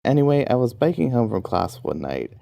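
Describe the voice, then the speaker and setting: A man's voice: mid-range voice, sing-song, brisk, vocal fry, dark tone, no room sound, studio quality. A young man telling a comedic personal story in a rambling, self-deprecating way, with music behind him. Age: young adult. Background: background music.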